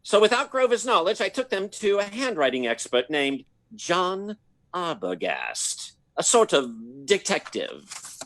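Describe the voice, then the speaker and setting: A man's voice: high-pitched, wildly swooping pitch, unhurried, a bit bright, full character voice. An actor playing a prim, wealthy old man in a radio play, with formal, fussy, hesitant phrasing. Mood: fussy, concerned. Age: older.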